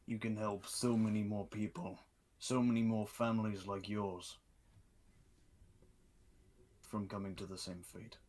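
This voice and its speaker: A man's voice: lowish voice, fairly even intonation, measured pace, pauses often, darkish tone. A man speaking casually and in character as a player in an online tabletop game.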